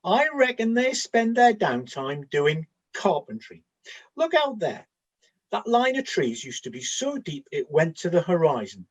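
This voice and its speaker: Comedy radio play: actor as down-to-earth ancient sentry, husky mid-pitched voice, lively intonation, conversational delivery. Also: a little slow, lo-fi sound. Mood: bored, musing. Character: bored, observant, matter-of-fact Trojan night-watch soldier in a spoof. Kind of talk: comic radio play acting.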